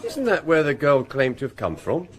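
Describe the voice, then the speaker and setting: An actor playing a reflective, methodical police investigator in a radio drama, thoughtful and slightly husky. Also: male voice, a little high, huge pitch swings, moderate pace. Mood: musing. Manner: thoughtful, speculative. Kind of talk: naturalistic British radio drama acting.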